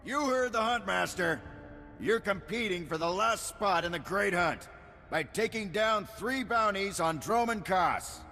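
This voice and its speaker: Sharp, gravelly, barking hunt herald. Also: male voice, high voice, expressive, unhurried, full character voice. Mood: commanding. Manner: barking, scornful, commanding. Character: harsh, contemptuous male bounty hunt herald. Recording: long hall reverb, music behind.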